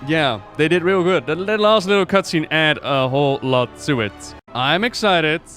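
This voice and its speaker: A man giving casual reaction commentary on game cinematics as a streamer, excitable and slangy in his exclamations. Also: on the high side, moderate pace, soft background music. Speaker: streamer hosting a reaction video.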